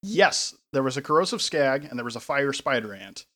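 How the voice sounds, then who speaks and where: A man of ordinary pitch co-hosts a podcast in a casual way. He gets animated as he tells his stories and often laughs partway through them. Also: brisk. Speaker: podcast co-host. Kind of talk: casual chat.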